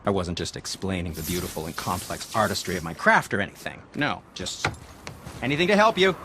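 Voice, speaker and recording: An actor playing a fussy, squeamish, easily flustered weaponsmith in a video game, with a prissy, theatrical, stammering delivery. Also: male voice, sits low, animated, brisk, background noise. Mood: miffed, sarcastic. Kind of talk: video game cutscene acting.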